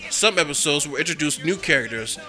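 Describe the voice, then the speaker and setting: Bright-voiced male documentary narrator. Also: fairly high pitch, animated, a little fast, soft background music, poor audio. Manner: enthusiastic, fan-like. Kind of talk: scripted narration.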